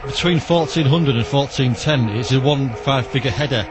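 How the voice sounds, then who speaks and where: A British man with a medium-pitched voice gives a matter-of-fact explanation as an interviewed hobbyist. He is recorded outdoors in town, with clear background noise. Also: moderate pace. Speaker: shortwave radio hobbyist being interviewed. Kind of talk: informal on-location interview. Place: outdoors in an urban back alley. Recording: noisy outdoor location with radio sound.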